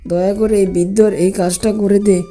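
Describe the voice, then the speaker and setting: A woman's voice: mid-range voice, fairly even intonation, fairly quick, mellow, faint music behind, lightly acted. A woman voicing a fatherly old man in a cartoon fairy tale, folksy and repeating pleas. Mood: pleading. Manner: pleading, repetitive, folksy. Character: pleading, grateful old man, fatherly villager.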